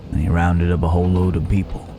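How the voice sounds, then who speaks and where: A man playing a gallant yet menacing Wild West cowboy in an intimate ASMR roleplay, unhurried, with pauses and folksy old-fashioned phrasing. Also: deep voice, monotone, noisy background, natural voice. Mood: sombre, nostalgic. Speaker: voice actor in an audio roleplay.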